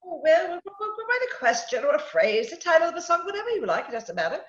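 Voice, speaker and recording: Lady doing a gushing flustered party hostess, old radio play, swoopy high voice, echoey room. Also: very animated, quick pace. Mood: fussy excitement. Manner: dithering, stammering, gushing. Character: fluttery, fussy society hostess running party games. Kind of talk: 1940s radio acting. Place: home, recorded over video call. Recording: echoey muffled home video-call recording.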